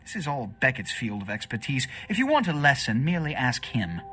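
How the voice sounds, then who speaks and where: A man's voice: medium pitch, sing-song, brisk, pushed and bright, a little hiss or hum. A man playing an erudite, condescending, formal vampire who speaks pompously in a video game.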